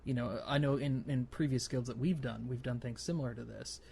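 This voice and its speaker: A man's voice: medium pitch, fairly even intonation, fairly quick, gravelly, warm tone, slight background noise. A man hosting a gaming podcast, explaining things casually in a loose, hesitant way. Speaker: podcast host.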